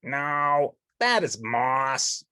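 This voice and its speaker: A woman's voice: very deep voice, very animated, drawn-out words, sharp tone, clear, resonant tone, no echo, voice pushed up, heavily acted. A woman voicing a sensible, bookish male puppet in a children's storybook, explaining things in a matter-of-fact, instructive way. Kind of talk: children's storybook read-along character voice.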